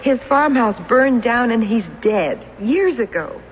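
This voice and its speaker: Brisk, skeptical American wife, muffled radio drama. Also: female voice, on the high side, expressive. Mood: exasperated, fond. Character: loving, practical, skeptical wife of an accident survivor. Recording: muffled, faintly noisy 1970s radio recording.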